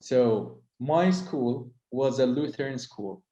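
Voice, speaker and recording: A man's voice: medium pitch, expressive, slow pace, mellow, echoey room, lo-fi sound. A middle-aged man, a tour guide whose first language is Arabic, talking casually with lots of "uh" and restarts. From Holy Land. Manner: hesitant, many fillers and restarts. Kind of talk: casual conversation.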